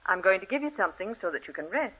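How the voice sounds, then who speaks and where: An actress playing a deferential, coaxing hired nurse in a vintage radio horror drama, with a husky voice. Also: female voice, mid-range voice, expressive, racing. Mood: coaxing, composed. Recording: muffled old radio recording.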